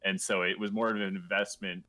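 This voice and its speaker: A man talking casually as a podcast guest, animated, with frequent stumbling restarts. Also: low voice, a little fast. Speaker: guest goalie.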